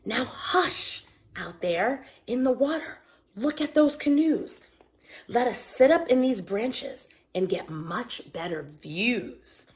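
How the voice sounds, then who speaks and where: A kindly magical toy bear from a children's storybook played by a woman, high and full of lilting swings. He is a wise, courteous guide who speaks slowly and gently. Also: expressive. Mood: guiding.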